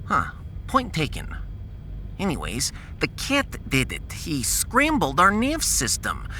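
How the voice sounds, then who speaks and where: A man's voice: a little high, wildly swooping pitch, slow, bright, forceful tone, slight background noise, pitched above the performer's own voice, exaggerated voice. A man playing a proud, pedantic scientist in a science fiction audio drama, long-winded and dropping his articles. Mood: explaining.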